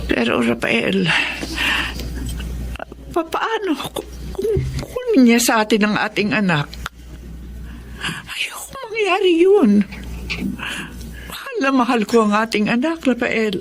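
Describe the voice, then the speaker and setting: A woman's voice: on the high side, very animated, takes it slowly, long pauses. An actress playing an anxious, loving adoptive mother and wife in a Tagalog radio drama. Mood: worried, tearful.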